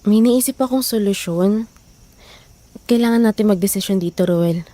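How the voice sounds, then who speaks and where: A woman's voice: mid-range voice, normal speed, warm tone, dry recording, lightly acted. A woman acting as a weary, frustrated wife and mother in hard times, emotional and reproachful, in an audio drama. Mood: weary, pleading. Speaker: voice actor.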